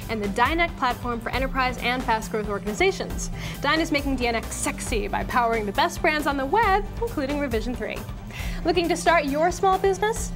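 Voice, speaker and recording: A young American woman co-hosting a tech show from a studio desk in a casual presenting style. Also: sits high. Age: young adult.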